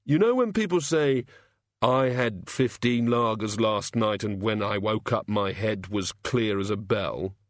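A man voicing Bilious, a queasy, pitiful male god of hangovers who groans and mumbles haltingly. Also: on the low side, no echo, clean studio sound, close to an everyday voice. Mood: groaning.

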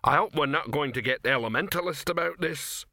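A man voicing a slow, easily offended male troll made of rock, with a ponderous rumbling delivery. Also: pushed and bright, no room sound, studio quality. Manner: ponderous, slow to digest things.

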